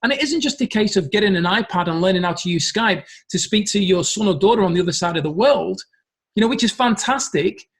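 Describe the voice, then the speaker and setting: Man, middle-aged, high-pitched, emphatic, motivational coaching talk. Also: expressive, brisk. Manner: earnest, emphatic, repeats key phrases. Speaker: business coach.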